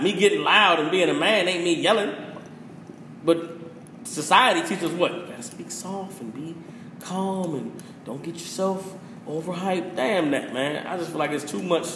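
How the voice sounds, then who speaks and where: A man's voice: high voice, normal speed, a bit bright. A man who rants passionately as a podcast host, preachy and full of profanity. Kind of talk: casual opinion rant. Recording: echoey room with faint hiss.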